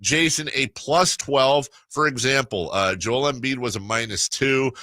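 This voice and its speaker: A man's voice: mid-range voice, unhurried, pushed and bright, no echo, clean studio sound. A man hosting a casual sports radio talk show, speaking in a wry, joking way with stammering restarts.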